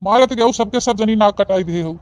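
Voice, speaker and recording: A woman's voice: mid-range voice, brisk, a little mellow, natural voice. A woman voicing a bossy, impatient old father-in-law in an Awadhi comedy cartoon, scolding and blustery, with an Awadhi accent. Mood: grumbling.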